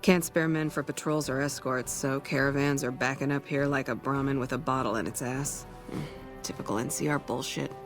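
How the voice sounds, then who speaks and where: An actor playing a weary, blunt ranger sniper in a video game, with dry, sardonic delivery. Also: male voice, mid-range voice, steady tone, a little fast, a bit bright, soft background music, close to an everyday voice. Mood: jaded, sardonic. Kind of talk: video game dialogue acting.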